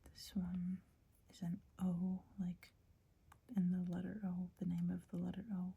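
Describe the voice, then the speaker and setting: Breathy woman, slow ASMR-style whispered lesson, dark and mellow tone. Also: lowish voice, completely flat delivery. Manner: whispering, hesitant, searching for words. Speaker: teacher.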